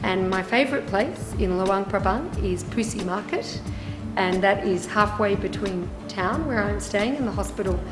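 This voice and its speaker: Woman, Australian accent, thoughtful, measured, roomy recording, music bed. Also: mid-range voice. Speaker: hospital volunteer. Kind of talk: reflective interview answers. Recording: roomy sound with music behind.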